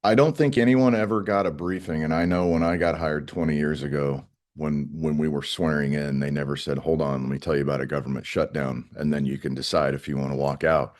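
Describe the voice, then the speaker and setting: A middle-aged man with a very low voice speaks as a union spokesman in an interview. He explains things in a plain, conversational way, stressing points firmly and repeating phrases for emphasis. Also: steady tone, a little fast, a little mellow. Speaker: air traffic controllers' union spokesman.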